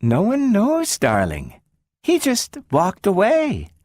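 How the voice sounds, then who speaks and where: A man doing a gently coaxing mother. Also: a little high, wildly swooping pitch, dry recording, studio quality, voice pushed up. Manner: slow and coaxing. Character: soothing mother, gentle and anxious.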